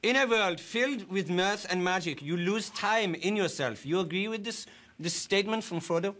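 A man playing a nervous, bumbling German interviewer who speaks halting broken English with a German accent. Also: high voice, a bit bright. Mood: awkward. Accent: German (put on). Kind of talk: prank interview.

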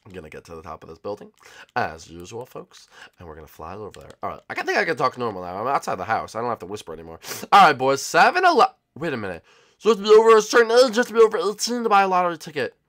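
Guy doing a whiny spoiled little monster boy, bright and dramatic, rambles and repeats himself, cartoon skit. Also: on the high side, big pitch swings, rapid-fire, voice pushed up. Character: whiny, scheming young turtle-monster boy, spoiled and childish.

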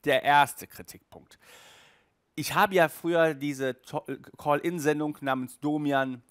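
A man's voice: a little high, fairly even intonation, slow, fairly bright tone, clear, resonant tone, no room sound. A man in his thirties presents a casual review in a chatty, emphatic way. He takes his time, dropping in little tag words as he goes. The headset sound is close and dry. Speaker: audio drama reviewer and host. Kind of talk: casual review talk to camera. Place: small indoor room at home.

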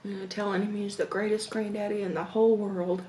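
A woman in her thirties with a Southern American accent, slowly telling stories while eating at her dining table at home. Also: medium pitch, little pitch movement, reverberant, slight background noise, poor audio. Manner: slow, reflective, with hums and soft laughs between bites. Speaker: mukbang vlogger. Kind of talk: casual storytelling while eating. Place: home dining room, indoors.

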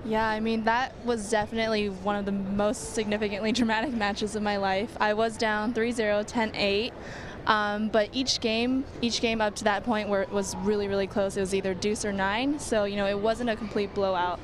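A woman's voice: mid-range voice, flat delivery, moderate pace, noisy background. A young woman athlete being interviewed on a handheld mic at a tournament studio desk, answering modestly with light giggles. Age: young adult. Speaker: athlete guest. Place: studio set at a convention center.

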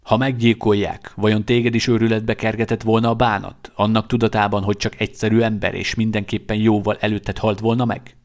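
A man's voice: on the low side, level tone, hardly any pauses, close to an everyday voice. A man voicing a male vampire prince who speaks in a grave, reflective way. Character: male vampire prince, devoted to his clan.

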